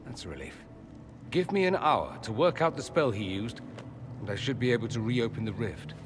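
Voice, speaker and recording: An actor playing a suave mage in a video game, dry-witted and dramatic with a wounded streak. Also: male voice, mid-range voice, big pitch swings, normal speed, a little hiss or hum, close to an everyday voice. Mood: relieved, focused. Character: suave, theatrical mage man, wry and wounded. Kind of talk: video game cutscene acting. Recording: music behind the voice.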